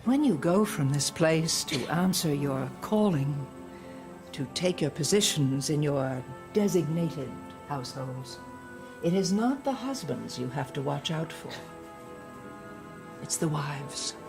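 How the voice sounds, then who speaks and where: A woman's voice: low-pitched, lively intonation, slow pace, creaky, over music, heavily acted. An actress playing a stern older female indoctrinator in an audio drama, lecturing in a solemn, preachy way. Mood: solemn warning.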